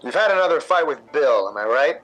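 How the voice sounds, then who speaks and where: A man's voice: fairly high pitch, animated, brisk, fairly bright tone, muffled recording, faint music behind. A man playing an affable, uneasy, placating playwright in 1950s radio drama style.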